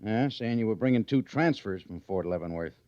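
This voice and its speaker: A low-voiced fort major played by a man in a radio western, rattling along quickly. He is skeptical and businesslike, questioning like a commanding officer. The vintage recording is muffled. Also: animated, darkish tone, no echo, natural voice. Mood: brisk, skeptical. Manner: crisp, businesslike, probing. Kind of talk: old-time radio western acting.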